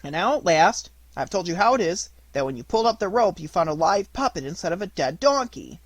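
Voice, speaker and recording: A man's voice: high-pitched, sing-song, fairly quick, no room sound, exaggerated voice. A man voicing a cheeky, talkative wooden puppet boy in a storybook reading, with mock-polite, theatrical delivery. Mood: gleeful recounting.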